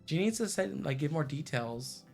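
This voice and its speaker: A man's voice: on the high side, lively intonation, moderate pace, gravelly. A man giving casual livestream commentary as a game streamer. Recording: faint game music behind the voice.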